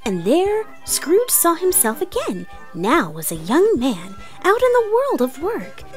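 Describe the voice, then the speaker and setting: A woman's voice: sits high, wildly swooping pitch, unhurried, faint music behind, full character voice. A woman playing an earnest, theatrical narrator of a storybook read-along. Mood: dramatic, earnest. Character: earnest theatrical storyteller, comic author-narrator persona played female.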